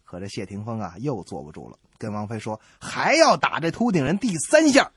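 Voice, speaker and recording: A man hosting a comedy radio show, telling a scripted joke in an animated way and acting out the dialogue. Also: mid-range voice, fairly quick, breathy edge, dry recording, studio quality. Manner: animated, acts out quoted dialogue. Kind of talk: scripted comic storytelling, joke banter.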